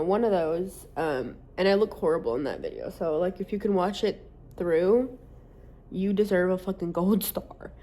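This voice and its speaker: A woman in her late twenties chats straight to her audience in a loose, rambling way. She fills her talk with "like" and drops casual swear words. Her pitch sits in the middle of the usual range for a woman. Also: a little mellow. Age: late 20s. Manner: rambling, candid, sprinkled with swearing and "like". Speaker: social media creator. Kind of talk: casual vlog chat.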